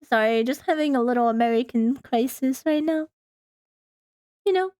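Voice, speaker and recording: A woman's voice: high-pitched, normal speed, a little mellow, no room sound, studio quality. A woman streaming a visual novel, reading the text aloud and breaking into playful, casual asides. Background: faint music.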